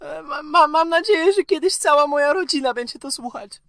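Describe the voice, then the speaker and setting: A timid little boy in a radio comedy. The voice sits very high with a creaky edge, halting and pleading. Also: fairly bright tone, dry recording, studio quality. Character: small, whimpering Polish-speaking boy, timid and pleading.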